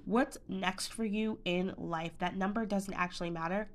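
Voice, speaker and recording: A woman's voice: medium pitch, fairly even intonation, moderate pace. Upbeat woman coaching podcast host. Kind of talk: solo motivational podcast talk.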